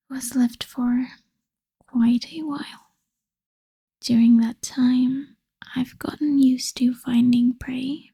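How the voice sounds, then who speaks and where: Woman acting a breathy, whispering obsessive vampire girl. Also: high-pitched, mellow, heavily acted. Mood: soothing, controlling.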